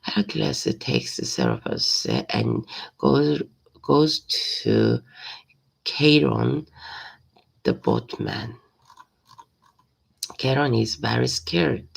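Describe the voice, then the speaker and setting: A woman reading a simple story aloud in a halting, hesitant way, often repeating words. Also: rumbling low, huge pitch swings, creaky, breathy edge. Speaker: language learner reading aloud.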